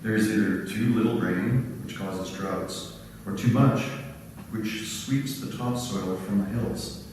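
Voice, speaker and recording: A practical farmer describing his hard land, read by a man in a matter-of-fact way. The voice is fairly low. It rings from far off in a large church. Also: slow, darkish tone, heavy reverb, in a large hall, a little hiss or hum. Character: practical farmer battling drought and floods. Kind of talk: amateur church drama reading.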